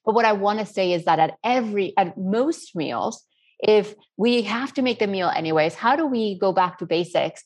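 A woman's voice: medium pitch, lively intonation, moderate pace, a little mellow. A middle-aged woman from Spain answering interview questions in an earnest, rambling, conversational way. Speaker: interview guest, self-taught cook.

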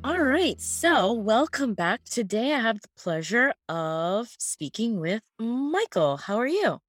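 A woman hosting an interview podcast, speaking with a slightly husky voice. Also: a little high, sing-song, slow pace, no echo, faint music behind. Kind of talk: scripted podcast intro and conversational interviewing.

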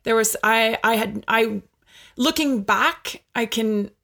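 A woman's voice: on the high side, slow, creaky, fairly bright tone. A woman, a host and former coach, talking casually and supportively, rambling with lots of fillers and restarts. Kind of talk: casual conversation.

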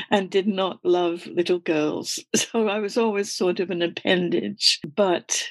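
Older Scottish-born woman, low slow voice. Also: fairly even intonation, fairly bright tone, dry recording. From Scotland. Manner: candid, laughs often while recalling. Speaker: guest. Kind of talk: reflective interview answers.

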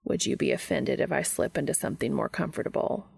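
A very gravelly, low-pitched man voiced by a woman from a romance audiobook. He is a quietly pleased lover, polite and slightly formal, with a teasing streak. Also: lively intonation, fast, heavily acted.

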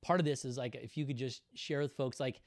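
A man's voice: mid-range voice, fairly even intonation, fairly quick, no echo. Thoughtful male interviewer, dry close sound. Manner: thoughtful, searching phrasing with many fillers. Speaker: podcast host. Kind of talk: conversational interview.

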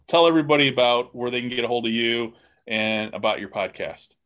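A man's voice: mid-range voice, fairly even intonation, brisk, a bit bright. A man hosting a podcast in a casual, folksy, affable interview manner. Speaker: podcast host. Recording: faint background noise, clicks.

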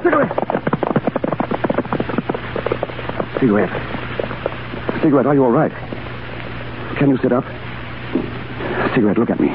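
An actor playing a gallant, teasing Foreign Legion sergeant in 1930s radio drama style, with a courteous, polished, playful manner. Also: male voice, medium pitch, wildly swooping pitch, a little fast, noisy background. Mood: urgent. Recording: tinny 1937 radio broadcast, loud music.

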